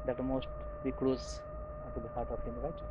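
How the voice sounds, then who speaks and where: A man, interviewing a writer with halting, hesitant questions full of fillers. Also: medium pitch, huge pitch swings. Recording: dull lo-fi, noisy ambience.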